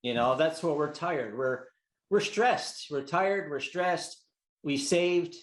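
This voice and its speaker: A man hosting a casual interview, asking questions in a halting way with restarts and "like" fillers. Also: fairly high pitch. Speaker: interview host. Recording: roomy echo.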